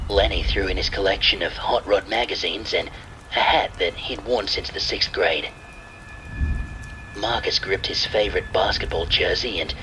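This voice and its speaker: A man's voice: deep voice, pushed and bright, slight background noise, rough recording. A man reading scripted narration of a spooky story, with rain ambience.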